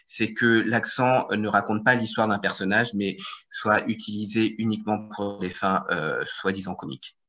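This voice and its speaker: A man speaking as a guest on an online panel, measured and firm, pausing with fillers. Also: lowish voice, steady tone, bright, forceful tone, lo-fi sound. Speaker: screenwriter and director, panel guest.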